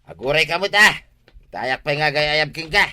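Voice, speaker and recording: Very sharp-toned nosy village pal. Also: male voice, on the high side, lively intonation, normal speed, clean tone. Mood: eager. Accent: Ilocano. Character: nosy, easily baffled male village pal. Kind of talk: Ilocano radio comedy drama acting.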